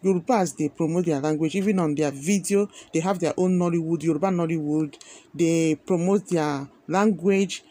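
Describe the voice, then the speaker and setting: A man's voice: on the high side, normal speed, warm tone. A man with a Nigerian accent giving earnest opinionated commentary, repeating himself with many fillers. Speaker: video commentator.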